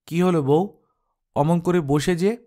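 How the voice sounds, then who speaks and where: A man's voice: a little high, slow, warm tone, voice pushed up. A man voicing a sensible older sister-in-law in a story reading, familiar and coaxing in manner. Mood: concerned, teasing.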